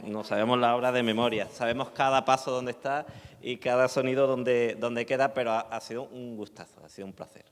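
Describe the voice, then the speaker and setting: A man's voice: mid-range voice, steady tone, brisk, clean tone. A man, a sound technician, speaking informally and explaining things at a presentation. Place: large indoor hall at a public event. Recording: echoey hall, long reverb.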